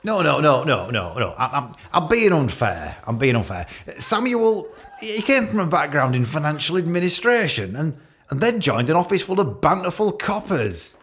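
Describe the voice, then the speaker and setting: A man's voice: a little high, sing-song, normal speed, a bit bright. A man playing an awkward, catty office boss with a British accent, giving a hesitant, rambling, jokey leaving speech in a radio play. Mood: hesitant, barbed. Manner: hesitant, repetitive, jokey with barbed asides.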